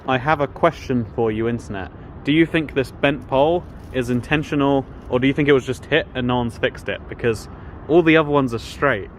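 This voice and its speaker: A man's voice: mid-range voice, fairly quick. A man vlogging through city streets and stations, making wry jokes to the camera on his phone. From England. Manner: wry, joking asides to the camera. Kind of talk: casual travel vlog commentary. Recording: phone recording with street noise.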